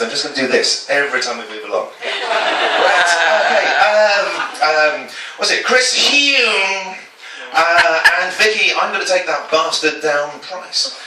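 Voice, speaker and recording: A man doing a topical stand-up set in a small comedy club, self-deprecating and stumbling into quick asides. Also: a little high, very noisy. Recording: echoey club from a distance with chatter.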